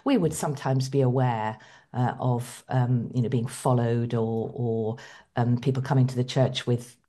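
Low, mellow-voiced woman reflecting calmly. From Iran. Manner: reflective, measured, with frequent hesitations. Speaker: guest, bishop. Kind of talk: conversational interview.

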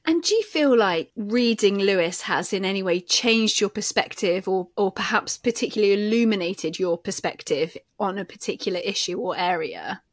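A woman interviewing a scholar, asking prepared questions in a measured, polite way. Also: mid-range voice, no room sound, clean studio sound.

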